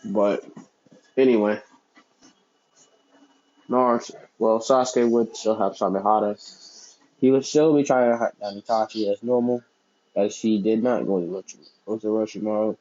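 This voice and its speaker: Deep-voiced young man rambling a fan story. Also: steady tone, moderate pace, long pauses, darkish tone, lo-fi sound. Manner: rambling, self-correcting, many fillers. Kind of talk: casual unscripted storytelling.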